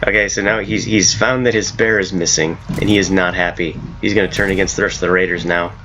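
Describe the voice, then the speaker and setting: Hoarse low-voiced man showing his game mod. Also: fairly even intonation, fast talker, pushed and bright, slight background noise. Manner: rambling, self-correcting, laughs at his own ideas. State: hoarse from a cold. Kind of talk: casual gameplay commentary.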